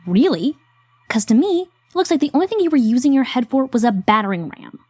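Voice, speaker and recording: A woman voicing an exasperated young woman in an audio drama who scolds her friend with a sarcastic, protective edge. Her voice sits mid-range with a mellow tone, and it is recorded dry and close. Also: sits high, sing-song, fairly quick, husky, no echo, full character voice.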